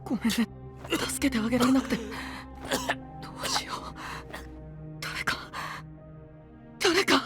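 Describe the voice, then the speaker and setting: A young knight in an anime audio drama, gentle but intense. His melody moves a great deal, from soft brooding to desperate pleading. He sounds burdened by devotion, with light music underneath. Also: very high voice, expressive, measured pace, long pauses. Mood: anguished, pleading. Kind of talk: Japanese anime-style voice drama.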